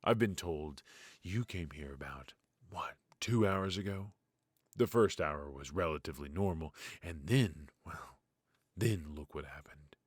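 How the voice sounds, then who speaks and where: A man playing a weary, ruthless yet fatherly mafia boss in an intimate ASMR roleplay, with a low, husky, breathy voice and a wry, sighing delivery. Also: no echo, studio quality. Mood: dry, probing. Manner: slow, pausing, sighing, wry.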